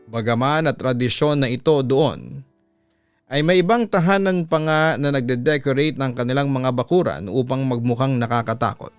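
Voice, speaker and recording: A Filipino man with a smooth, resonant voice reads a horror letter like a radio storyteller. His delivery is deliberate and dramatic, close on the mic, with music playing softly underneath. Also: medium pitch, no echo. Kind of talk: dramatic reading of a listener's letter. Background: music bed.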